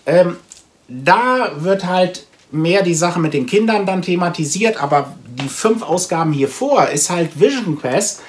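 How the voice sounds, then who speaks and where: Higher-pitched man chatting casually. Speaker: comic book vlogger. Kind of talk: casual chat to camera, reviewing a comic haul. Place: small room at home with packed shelves, indoors.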